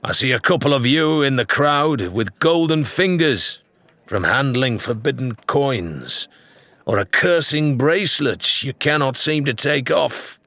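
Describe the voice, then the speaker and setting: A man's voice: medium pitch, expressive, measured pace, fairly bright tone, no room sound, pitched above the performer's own voice. A man voicing an ambitious pirate leader in an audiobook, persuasive and commanding, addressing followers like an orator. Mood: rousing.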